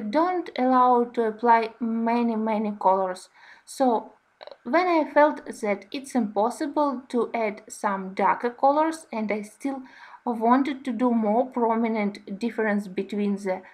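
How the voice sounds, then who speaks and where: Female tutorial narrator, native Russian speaker, fairly high pitch, unhurried and careful non-native delivery. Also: low-quality recording. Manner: careful, slightly halting phrasing in non-native English. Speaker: host of a coloring tutorial channel.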